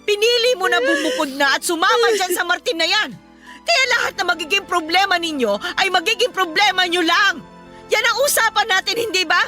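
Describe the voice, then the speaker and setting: A woman's voice: very high-pitched, lively intonation, brisk, over music. An actress with a Filipino accent playing an angry, domineering middle-aged mother in a radio drama, scolding and demanding answers with heavy emphasis. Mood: hurt, reproachful. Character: angry, domineering mother confronting her adult daughter.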